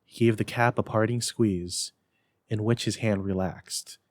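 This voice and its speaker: A man narrating a classic story as a dramatic reader, with expressive, emphatic delivery. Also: lowish voice, unhurried, dry recording, studio quality. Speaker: library staff story reader.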